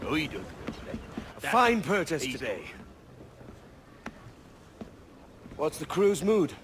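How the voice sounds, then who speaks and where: An actor playing a roguish young pirate in a video game, wry and breezy. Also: male voice, on the high side, sing-song, takes it slowly, noisy background. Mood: businesslike. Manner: breezy, dry, bantering. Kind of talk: video game cutscene acting.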